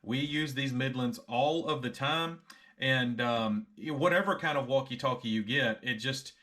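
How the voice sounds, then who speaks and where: A man's voice: medium pitch, measured pace, bright, forceful tone. A middle-aged American man reviewing a product casually to camera, frank and conversational, often repeating himself.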